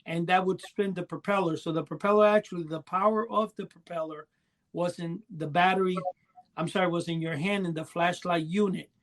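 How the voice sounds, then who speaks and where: A man with a distinctly high voice talks as a podcast co-host. He explains things in a casual, meandering way, often backing up to correct his own words. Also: fairly even intonation, fairly quick. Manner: rambling, self-correcting.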